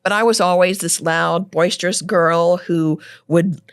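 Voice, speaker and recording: A middle-aged woman talking as a guest in a casual interview, loud and animated, laughing often. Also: fairly low pitch, measured pace, smooth.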